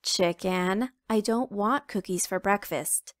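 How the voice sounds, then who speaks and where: A woman's voice: medium pitch, takes it slowly, no echo, clean studio sound, close to an everyday voice. A woman voicing a sleepy, patient father chicken in a picture book read aloud, groggy and weary.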